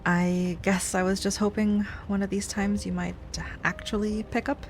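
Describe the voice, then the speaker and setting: A woman playing an obsessive, sweetly pleading ex-girlfriend in an intimate audio roleplay, speaking softly and haltingly. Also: medium pitch, flat delivery, slight background noise, close to an everyday voice. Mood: nervous, resigned. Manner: soft, halting, wistful, with sighs and nervous little laughs. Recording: music under the voice.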